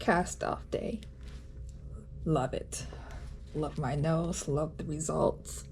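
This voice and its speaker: A woman giving a casual vlog update in a nasal voice, chatty and halting with frequent ums. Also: deep voice, fairly even intonation, gravelly, a little mellow, slight background noise. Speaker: vlogger.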